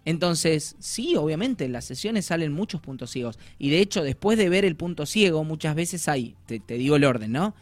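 An Argentine man with a fairly high voice speaks as a coach. He explains things in an informal, conversational way, often voicing imagined remarks. The sound is very dry, without room echo. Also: expressive, moderate pace, few pauses. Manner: informal, uses slang and acts out imagined quotes. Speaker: life coach, guest.

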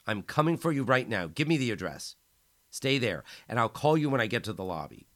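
A man's voice: mid-range voice, big pitch swings, fairly quick, fairly bright tone, pitched above the performer's own voice. A man voicing a worried young woman woken in the night, urgent and alarmed.

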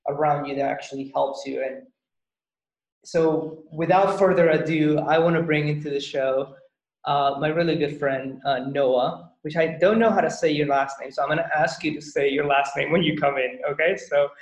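A man's voice: fairly high pitch, normal speed. A man hosting a podcast, talking casually and rambling, often repeating his words. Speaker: podcast host. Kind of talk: casual interview chat. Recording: echoey, dull-sounding room.

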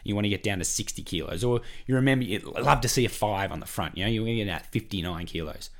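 A hoarse Australian man with a croaky voice from a cough, hosting a solo podcast as a weight-loss coach and talking in an encouraging, repetitive, chatty way. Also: lowish voice, quick pace, a bit bright.

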